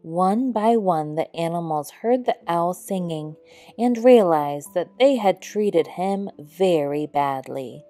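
A woman reading a children's story aloud in a measured, expressive storybook style. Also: fairly low pitch, faint music behind. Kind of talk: scripted read-aloud narration for children.

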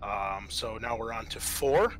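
A man's voice: lowish voice, expressive, measured pace, a bit bright, slight background noise. A man narrating a tabletop roleplaying game as game master, describing scenes in an informal, improvised, hesitant way.

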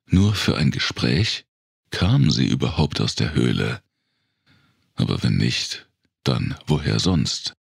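Very deep, gravelly male narrator. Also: big pitch swings, takes it slowly, dry recording, studio quality. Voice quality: gravelly, raspy. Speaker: audiobook narrator. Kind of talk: scripted narration of a dark thriller audiobook.

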